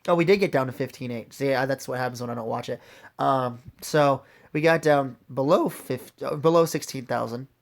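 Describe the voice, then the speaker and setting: A man giving a casual, unscripted video walkthrough, his voice raspy as it recovers from being lost, speaking informally straight to viewers. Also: mid-range voice, a little fast. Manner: informal, addresses viewers directly. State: recovering from a lost voice, occasional throat clearing. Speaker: host of an investing video series.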